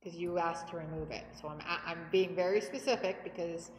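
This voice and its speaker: A woman's voice: lowish voice, fairly quick. A woman chairing a town budget committee meeting, reading out budget lines and calling votes in a businesslike way on a table microphone. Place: town hall meeting room. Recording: echoey hall, faint background noise.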